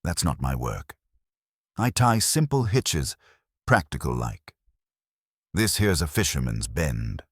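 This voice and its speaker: A man's voice: very deep voice, big pitch swings, takes it slowly, pauses often, slightly rough, no room sound, clean studio sound, full character voice. A man voicing a practical, proud theatre stagehand in a mystery story, with clipped, folksy phrasing. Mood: matter-of-fact.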